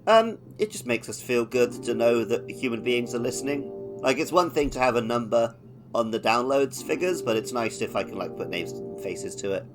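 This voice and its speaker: A man's voice: mid-range voice, animated, normal speed, music in the background. A man co-hosting a podcast, talking casually about video games in an easygoing, conversational way. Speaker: podcast co-host. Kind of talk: casual video game discussion.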